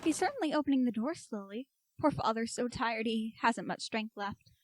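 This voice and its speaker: A woman playing an anxious, excitable scientist's daughter in an amateur radio play, theatrical and expressive. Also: on the high side, normal speed, noisy background, lightly acted. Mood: anxious, polite.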